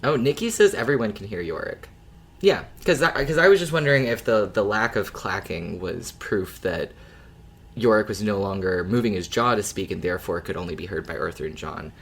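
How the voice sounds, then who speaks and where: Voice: deep voice, lively intonation, brisk, fairly bright tone. A podcast co-host chatting casually, rambling informally through their thoughts with the odd swear word. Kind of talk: casual podcast chat about an audio drama.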